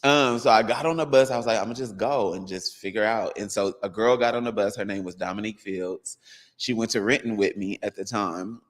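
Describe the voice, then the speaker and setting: A man in his thirties from the United States, a dance coach and guest, telling his story in an animated way with laughs. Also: lowish voice, a little fast, big-room sound. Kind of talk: casual interview.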